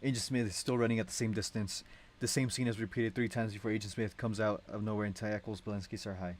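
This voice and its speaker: A man's voice: fairly low pitch, steady tone, quick pace. Young adult male narrator, casual script read-along, informal and self-interrupting.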